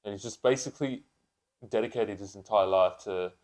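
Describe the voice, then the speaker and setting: A man's voice: on the low side, a little fast, darkish tone. A man in his twenties chatting casually and unscripted as a podcast co-host, with blokey, sweary, slangy talk. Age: 20s.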